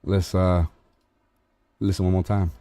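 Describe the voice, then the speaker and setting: A man with a fairly low voice talks through a music mix step by step. His delivery is relaxed and explanatory, with fillers and restarts as he thinks aloud. The sound is close and dry, with no room around it. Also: a little slow, dry recording. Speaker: host demonstrating music mixing. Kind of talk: casual tutorial walkthrough.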